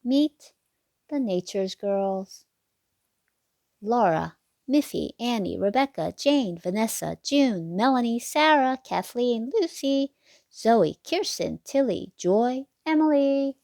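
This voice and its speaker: Female storybook reader, unhurried and expressive, slight creak in the voice, close dry sound. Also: on the high side, no echo. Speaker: read-aloud narrator for children. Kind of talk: children's picture book read-aloud.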